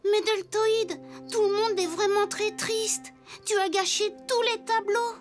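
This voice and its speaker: A voice actor playing an earnest, inquisitive little wooden toy boy detective in a children's cartoon, articulating questions clearly. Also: very high voice, steady tone, measured pace, soft background music. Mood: gentle, earnest.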